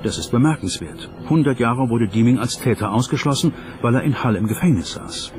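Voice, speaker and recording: A man's voice: medium pitch, lively intonation, a little fast, natural voice. A man doing a scripted documentary voice-over as an inquisitive investigator reasoning through evidence. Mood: inquisitive, serious. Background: background music.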